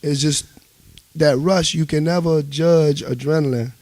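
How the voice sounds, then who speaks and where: A man's voice: on the high side, steady tone, measured pace, a little mellow, no echo. A man with a Washington, D.C. accent talking casually as an interview guest, telling things in colorful slang and everyday phrasing. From United States.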